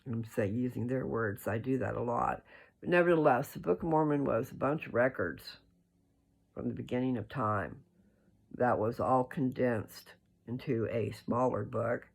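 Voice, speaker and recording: A creaky, gravel-edged voice at a middling pitch shares scripture with listeners. The delivery is homespun and informal, moving between reading aloud and loose, rambling explanation with small check-ins along the way. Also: normal speed. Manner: informal, folksy, checks in with "okay?". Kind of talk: scripture read aloud with casual, rambling commentary.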